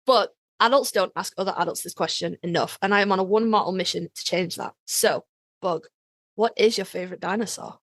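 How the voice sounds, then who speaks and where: A woman's voice: medium pitch, fairly quick, no echo. Playful woman podcast co-host, dry close sound. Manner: playful, effusive, salty. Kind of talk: casual interview chat.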